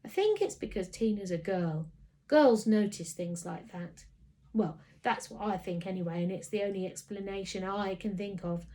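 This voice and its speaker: Female narrator for a children's book, mid-range voice, expressive scripted reading. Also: medium pitch, moderate pace. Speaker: story reader.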